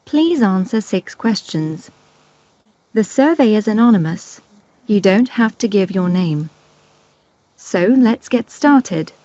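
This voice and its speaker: Woman announcer for an exam recording, slow even read, mellow tone, dry dull playback. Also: medium pitch. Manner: slow, clear, even reading.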